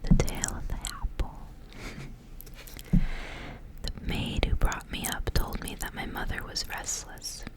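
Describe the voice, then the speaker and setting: A young woman whispers softly in an ASMR style. Her delivery is breathy and almost entirely unvoiced, kept hushed throughout. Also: a little slow, pauses often. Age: young adult. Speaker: ASMR creator. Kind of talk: whispered ASMR, casual rambling and story reading.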